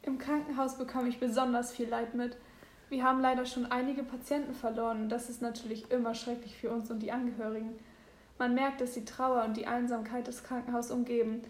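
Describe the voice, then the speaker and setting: A woman's voice: on the high side, a little mellow, echoey room. A nineteen-year-old woman who volunteers in a hospital, speaking earnestly and asking questions.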